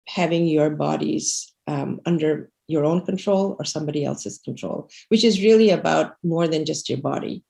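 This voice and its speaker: A woman's voice: sits low, gravelly, darkish tone. A middle-aged woman from Bangladesh, an activist presenting slides on a video call, speaking earnestly with many fillers and restarts. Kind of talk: presenting slides in a livestream talk. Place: home room indoors. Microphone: video call webcam.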